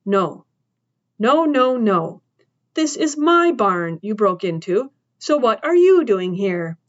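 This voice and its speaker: A woman's voice: medium pitch, big pitch swings, takes it slowly, lo-fi sound. A woman voicing a tough, wary middle-aged farm woman with a faint sing-song accent, who questions sharply. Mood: interrogating.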